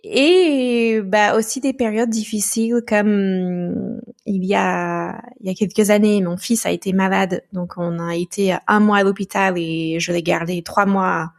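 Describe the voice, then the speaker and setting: Woman from the United States, halting. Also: mid-range voice, fairly even intonation. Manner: thoughtful, halting, with frequent restarts. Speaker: podcast guest, founder of a training company. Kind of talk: casual podcast conversation.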